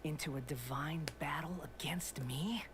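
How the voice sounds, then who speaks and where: An actor playing a mocking young male god in a video game, speaking in a hushed, breathy half-whisper with a condescending tone. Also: fairly high pitch, moderate pace, noisy background, close to an everyday voice. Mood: taunting. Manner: taunting, condescending.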